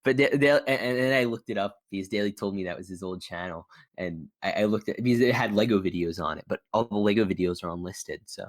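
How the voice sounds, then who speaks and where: A man's voice: lowish voice, fast, few pauses. A man chatting casually as a podcast host and YouTuber, in a rambling, stop-start way with frequent stammers. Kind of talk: casual chat interview.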